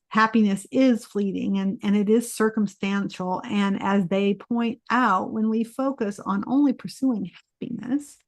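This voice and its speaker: A woman co-hosting a podcast, talking earnestly and reflectively. She explains ideas and reads out quoted passages. Her voice sits at a middle pitch and sounds dry and close, with no room echo. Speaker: podcast co-host.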